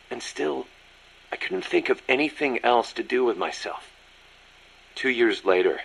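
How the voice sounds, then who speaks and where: A man's voice: fairly low pitch, creaky, a bit bright, slightly rough, exaggerated voice, rough recording. A man playing a proud, loyal ex-soldier second-in-command, speaking earnestly and reflectively in a game audio drama.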